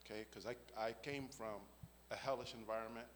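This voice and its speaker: A man's voice: medium pitch, fairly even intonation, a little fast, in a large hall, a little hiss or hum. A man, giving an earnest spoken faith testimony and often checking in with "right?" and "okay?". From the Bronx, New York. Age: 50s.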